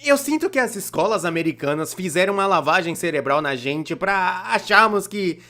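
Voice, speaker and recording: A Brazilian performer dubbing a young cartoonist who narrates an animated comedy video in a conversational, self-deprecating, complaining way. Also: medium pitch, normal speed. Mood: wry, explanatory. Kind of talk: scripted comedic storytime narration, fan dub.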